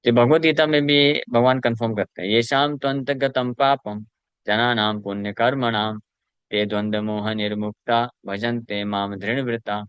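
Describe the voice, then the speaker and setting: A man's voice: mid-range voice, steady tone, normal speed, a bit bright, clean tone, no room sound, highs cut off. A man, a spiritual teacher, giving a devotional scripture lecture in an explanatory way, mixing in English terms and reciting Sanskrit verses.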